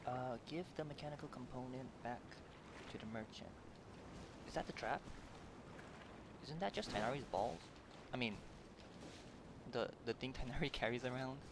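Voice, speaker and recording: A man's voice: medium pitch, moderate pace, long pauses, noisy background. A man giving casual live commentary on a game playthrough, reacting and answering the characters aloud. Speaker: game streamer. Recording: loud game music behind voice.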